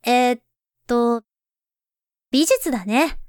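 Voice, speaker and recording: A soft-spoken schoolgirl chatting with a friend between classes in a voice drama, voiced by a woman. She sounds mild and gently teasing, with a light, high voice. The recording is dry and close. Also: slow, clean tone, dry recording. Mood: friendly.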